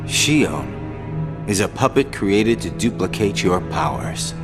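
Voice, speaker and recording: Lowish, expressive young man. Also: takes it slowly, loud music behind the voice, heavily acted. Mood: grave. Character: troubled, evasive young man, loyal but weary friend.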